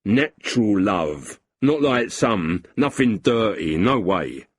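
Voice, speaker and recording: A man voicing a boozy, sentimental lowlife with a husky voice and a blustering, self-pitying way of talking. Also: very animated, slow pace, slight background noise. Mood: confiding.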